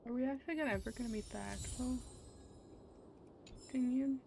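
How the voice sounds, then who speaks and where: A woman's voice: high voice, brisk, gravelly, slight background noise. A woman streaming a video game and reacting casually as she plays. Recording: loud game music behind voice.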